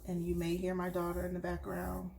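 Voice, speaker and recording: A woman's voice: lowish voice, creaky, noisy background. A woman talking through a craft tutorial at home while she works, a bit sniffly from allergies. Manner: slow, relaxed, talks while working. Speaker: crafter showing a tumbler project.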